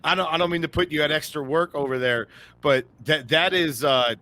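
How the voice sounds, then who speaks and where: A man co-hosting a casual sports podcast, talking in an animated way and laughing often. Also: fairly high pitch. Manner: animated, laughs often, breaks into quick impressions. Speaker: podcast co-host.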